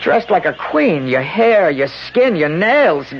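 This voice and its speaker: A broken, bitter slave strains through agony in a creaky voice. It is seventies radio melodrama, dull and noisy. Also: male voice, sits high, big pitch swings, slow pace, heavily acted. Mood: agonized bitter. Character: anguished broken man enslaved, weak and bitter. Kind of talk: 1970s radio drama acting.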